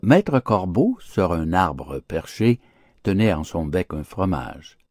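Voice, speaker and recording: A man's voice: low-pitched, very animated, slow pace, darkish tone, dry recording, studio quality. A man narrating an audiobook of classic literature in a formal, declamatory, theatrical manner.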